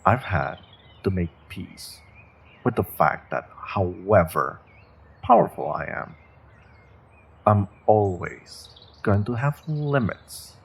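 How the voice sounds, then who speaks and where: Man acting a deep, slow, menacing sorcerer. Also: expressive, gravelly, husky, exaggerated voice. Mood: grudging admiration. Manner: deliberate, theatrical, condescending. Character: menacing, controlled evil male sorcerer, captor taunting prisoner. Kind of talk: scripted fantasy audio roleplay, intimate second-person monologue.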